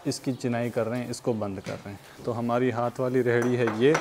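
A man's voice: medium pitch, fairly even intonation, a little fast, background noise. Young man, from Pakistan, casual vlog, laughs a lot, lapel mic, outdoors, faint ambience. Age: young adult. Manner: cheerful, laughs often between sentences. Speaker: vlogger. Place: outdoors, farmland and building site.

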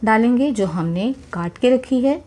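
A woman's voice: fairly high pitch, expressive, unhurried. A woman hosting a cooking channel, talking viewers through a recipe in a chatty voice-over, often adding blessings as she works. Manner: warm, chatty, repeats religious blessings while cooking; addresses viewers directly, encouraging. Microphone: voice-over, speaker not seen.

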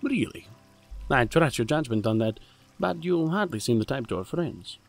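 A concerned male companion, played by an actor, speaks with a gravelly, animated voice. He sounds trusting, in an audio drama with faint music. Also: mid-range voice, normal speed. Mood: concerned, curious.

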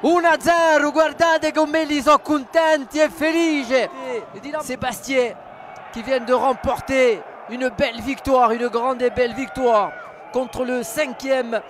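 A man commentating live on football for radio, excitable and partisan, repeating his phrases. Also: very high-pitched, measured pace. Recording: loud stadium crowd and noise. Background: stadium crowd.

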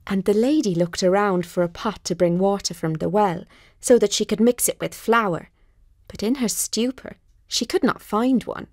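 A woman narrating a scripted bedtime story with measured, deliberate, dramatic phrasing. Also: mid-range voice, darkish tone, no echo, clean studio sound.

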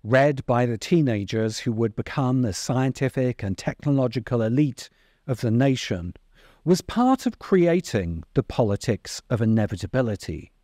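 A middle-aged man narrating a scripted video essay in a deliberate, emphatic way, close to the microphone. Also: mid-range voice, expressive, mellow, dry recording. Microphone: close desk microphone.

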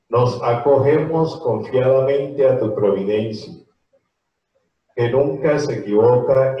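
Priest, solemn liturgical delivery, dull lo-fi echoey recording. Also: male voice, mid-range voice. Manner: slow, solemn liturgical recitation. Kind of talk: leading mass liturgy. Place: small chapel with altar and crucifix. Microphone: handheld microphone. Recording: echoey, distant, dull sound.

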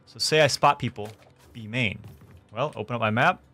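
A young man hosting a gaming tutorial, explaining things clearly step by step from his streaming room. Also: mid-range voice, moderate pace, sharp tone, faint music behind. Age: young adult. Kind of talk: tutorial narration. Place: small indoor room streaming setup.

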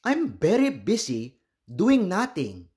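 A man voicing a calm, aloof, wise male lizard in a storybook, giving unhurried, soothing instructions. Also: sits high, pitched above the performer's own voice, full character voice. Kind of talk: storybook character voice.

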